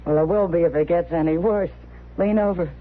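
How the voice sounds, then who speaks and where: An actress playing a protective, plain-spoken frontier saloon woman in a 1950s radio western, brisk and kindly but firm. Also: on the low side, natural voice. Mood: kindly, practical. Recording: noisy, tinny old radio broadcast.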